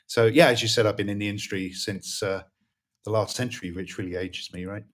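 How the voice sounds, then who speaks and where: A British man in his fifties, a guest in an interview, talking in a relaxed way with many pauses and fillers. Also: on the low side. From Britain. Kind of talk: interview conversation.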